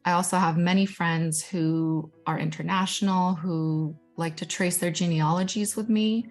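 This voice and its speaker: A woman's voice: lowish voice, fairly even intonation, normal speed, faint music behind. A young woman from Guatemala whose first language is Spanish, chatting casually and enthusiastically as she presents her own artwork, with self-deprecating asides. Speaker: digital storytelling manager.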